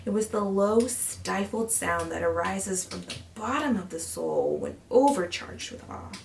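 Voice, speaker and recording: A woman voicing a nervous, secretly gleeful mad narrator who insists on being sane, read as dramatic horror storytelling with intense emphasis and breathy drops. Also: mid-range voice, animated, unhurried. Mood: hushed, suspenseful. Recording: distant mic with background noise.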